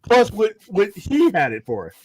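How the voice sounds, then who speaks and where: A man's voice: high-pitched, huge pitch swings, measured pace, a little mellow, no room sound. A man co-hosting a live stream, ribbing his partner in incredulous, joking banter. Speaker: co-host. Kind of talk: casual live stream chat.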